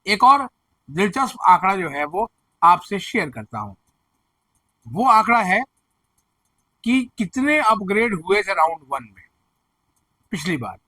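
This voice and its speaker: A man with a hoarse throat presenting education news, explaining admission figures and earnestly reassuring students and parents. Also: high voice, very animated, measured pace, long pauses, pushed and bright, no echo, poor audio. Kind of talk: direct-to-camera advisory commentary.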